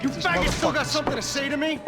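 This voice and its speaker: An actor playing a hot-headed, bullying American mobster in a video game, threatening and foul-mouthed. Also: male voice, very high voice, fairly even intonation, racing. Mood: hostile. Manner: aggressive, threatening, profane. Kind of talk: video game villain acting. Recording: loud music behind the voice.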